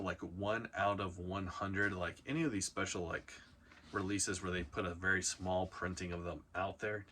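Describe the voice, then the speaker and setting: A man's voice: low-pitched, pushed and bright, a little hiss or hum. A man hosting a video, talking through a countdown in a casual, informal way with punchy emphasis. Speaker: host of a reselling video channel. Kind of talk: casual countdown commentary to camera.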